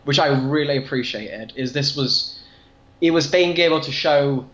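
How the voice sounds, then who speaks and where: An echoey, slightly dull recording of a male podcast co-host. He chats casually through a review, offering his opinions in a conversational voice of middling pitch. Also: normal speed, a bit bright. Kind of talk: casual comic book review discussion. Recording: muffled, echoey room sound.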